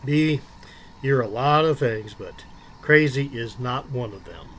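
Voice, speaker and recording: A man playing calm husband Bob. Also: medium pitch, measured pace, a little hiss or hum. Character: Bob, Bee's devoted, menacing husband. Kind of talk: radio drama acting.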